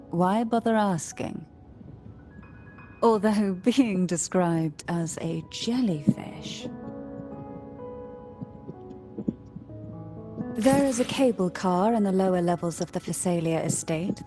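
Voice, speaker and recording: A woman voicing a calm, cultured game noblewoman who speaks in a formal, measured, eloquent way over music. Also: mid-range voice, natural voice. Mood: dry, composed. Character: poised, cultured noblewoman of secretive schemes, calm and knowing. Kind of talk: video game voice acting, scripted dialogue.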